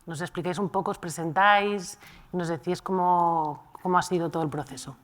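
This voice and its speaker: A woman moderating a round-table panel at a conference, introducing guests and asking questions with filler pauses. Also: fairly low pitch, steady tone. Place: conference hall.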